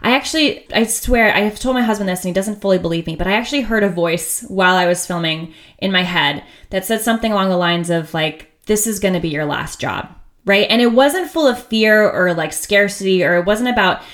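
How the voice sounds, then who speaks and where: A woman's voice: mid-range voice, a little fast, fairly bright tone. Woman, 30s, American, podcast host, chatty, upbeat, candid, laughs often. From United States. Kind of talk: casual solo podcast monologue.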